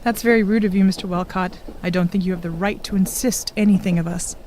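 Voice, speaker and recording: An actress playing a composed, courteous but firm medical woman in an audio drama, speaking in a measured way. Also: medium pitch, fairly even intonation, a little mellow, a little hiss or hum, natural voice, rough recording. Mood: calm, firm. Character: composed, polite, firm female medical professional. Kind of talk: audio drama acting with reflective narration.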